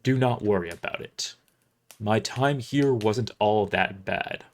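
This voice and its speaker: A man's voice: on the low side, takes it slowly. A man voicing a huge, kindly armored paladin with formal, chivalrous manners in a story reading. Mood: reassuring.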